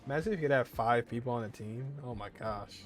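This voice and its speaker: A man's voice: medium pitch, quick pace. A young man giving casual gameplay commentary as a streamer, with short exclamations and muttered asides. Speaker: gaming streamer. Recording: faint game music behind the voice. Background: video game music.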